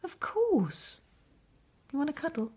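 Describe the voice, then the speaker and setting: A woman's voice: high-pitched, sing-song, takes it slowly, dark tone, slight background noise, full character voice. An actress playing an emotional, protective middle-aged mother in a radio play. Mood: gentle. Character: emotional, loving working mother, fiercely protective.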